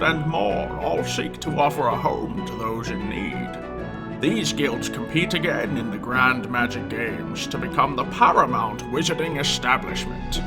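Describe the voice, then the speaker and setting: A man reading dramatic scripted fantasy narration. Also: mid-range voice. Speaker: intro narrator. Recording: loud music under the voice. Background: loud music.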